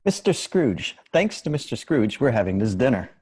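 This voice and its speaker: Man as husky, kindly poor father. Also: medium pitch, sing-song, moderate pace, darkish tone. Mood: tender, grateful. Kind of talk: dramatic table read.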